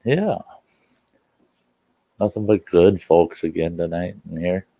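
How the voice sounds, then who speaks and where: Older man, very low voice, slightly congested, folksy rambling livestream chat, dry close sound, dull recording. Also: slow, no room sound. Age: 60s or older. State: slightly stuffy nose. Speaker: livestream host and storyteller. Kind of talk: casual livestream chat and reminiscing. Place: indoors at home.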